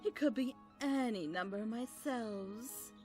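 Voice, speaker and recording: Woman doing a flamboyant mischief-maker cartoon creature, slow high drawl, teasing, music behind. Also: a little mellow, lightly acted.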